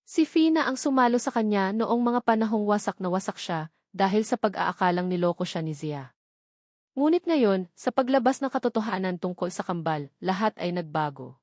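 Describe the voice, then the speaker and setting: A woman's voice: mid-range voice, animated, normal speed, darkish tone, no echo, studio quality. A woman with a Filipino accent narrating a scripted story in a dramatic storytelling style. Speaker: audiobook narrator.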